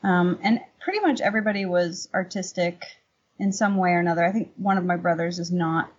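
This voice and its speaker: A woman's voice: on the low side, brisk, breathy edge. A woman from Texas talking casually as an interview guest, in a conversational, anecdotal way. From Texas, United States. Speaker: podcast guest, painter. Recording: dull, lo-fi remote-sounding audio.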